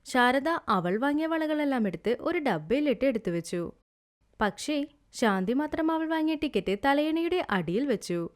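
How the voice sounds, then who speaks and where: A woman's voice: high voice, animated, moderate pace. A woman narrating a scripted moral story as a storyteller.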